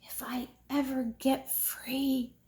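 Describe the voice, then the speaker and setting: Woman as a sheepish, high-voiced bunny boy. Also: little pitch movement, slow, a little mellow. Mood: whispered regret. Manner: rueful. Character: young boy rabbit, sheepish soccer-mad troublemaker.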